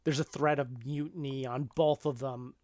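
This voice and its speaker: A woman's voice: extremely low pitch, steady tone, normal speed, mellow, no echo. Thoughtful woman co-hosting, close dry sound. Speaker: podcast co-host. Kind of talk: casual book and film discussion.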